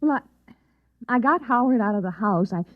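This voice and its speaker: A slightly muffled vintage radio drama voice, an American suburban wife. She sounds tart and anxious, a little high, with very lively intonation. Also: normal speed. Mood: wary, insistent. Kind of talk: 1950s radio drama acting.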